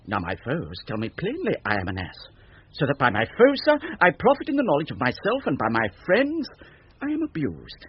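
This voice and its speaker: Sly Shakespearean clown, high-pitched and very swoopy, teasing wordplay for radio drama. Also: male voice, wildly swooping pitch, a little fast, breathy edge, exaggerated voice. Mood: playful, wheedling. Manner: teasing, wordy, lilting wordplay. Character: sly, witty clown, a great lady's household fool. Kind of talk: 1970s BBC radio Shakespeare acting. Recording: muffled 1970s radio recording.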